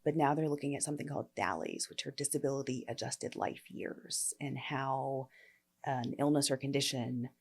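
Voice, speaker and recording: A woman epidemiologist speaking as an interview guest, explaining firmly and clearly. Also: a bass voice, normal speed. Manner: firm, explanatory. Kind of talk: conversational interview.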